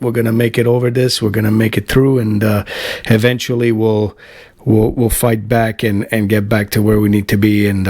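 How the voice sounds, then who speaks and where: A man's voice: fairly low pitch, darkish tone, no room sound. A middle-aged man from Canada chatting casually to camera at home, rambling with lots of fillers and restarts and the odd swear. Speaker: band vocalist hosting a web show. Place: home, Florida.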